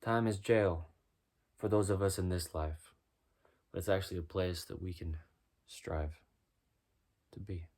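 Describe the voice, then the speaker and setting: Man, deep low voice, rhythmic rhyming freestyle, preachy musing delivery. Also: fairly even intonation, unhurried, pauses often, a little mellow. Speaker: freestyle musician. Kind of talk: spoken-word freestyle over guitar.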